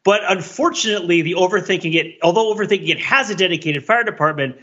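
A man with a slightly high, husky voice chats as a podcast guest. He tells stories in a rambling, animated way, with playful grand phrasing. The track sounds a little dull and lo-fi. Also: fast talker. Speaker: podcast panelist. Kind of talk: casual chat, recollecting.

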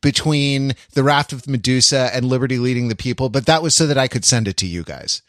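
A man's voice: medium pitch, fairly quick, fairly bright tone, no room sound, studio quality. A man co-hosting a podcast and chatting casually. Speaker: podcast co-host. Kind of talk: casual chat.

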